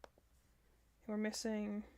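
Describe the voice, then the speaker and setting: A woman's voice: mid-range voice, completely flat delivery, very slow, a little mellow. A woman giving casual reaction commentary as a streamer, rambling and thinking aloud as she goes. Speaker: streamer reacting to game character demos.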